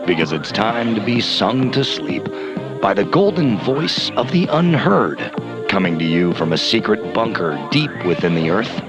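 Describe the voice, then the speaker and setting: Man as a very deep, pompous radio announcer. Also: big pitch swings, over music. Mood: grandiose, mysterious. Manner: pompous, drawn-out dramatic phrasing. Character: grandiose, touchy late-night radio show announcer. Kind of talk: theatrical radio intro announcing.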